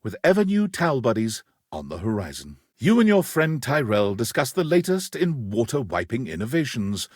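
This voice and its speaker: A man giving scripted narration for a dating game, wry and deliberate, with dramatic pauses. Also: mid-range voice, very animated, a little mellow, slightly rough, dry recording, clean studio sound. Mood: wry, warm.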